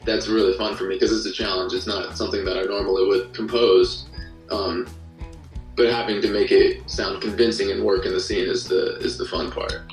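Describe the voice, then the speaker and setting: A man's voice: sits low, steady tone, a little fast. A man being interviewed as a musician and podcast creator, talking casually in a reflective, explanatory way. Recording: roomy, slightly distant-sounding, music underneath.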